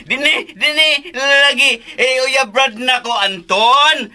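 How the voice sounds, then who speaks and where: High stammering childlike man. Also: bright, forceful tone, heavily acted. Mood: flustered. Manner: stammering, halting, repeats words. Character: childlike simple-minded man, excitable and guileless. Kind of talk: radio drama acting.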